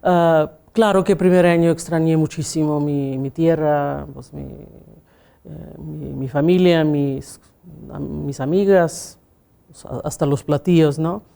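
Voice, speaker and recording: A woman's voice: sits low, steady tone, slow pace, gravelly, dark tone. A woman with a Russian accent, a pianist and music teacher, answering interview questions in a reflective way with small slips and questioning tags. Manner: reflective, often ending with a questioning tag, small grammar slips.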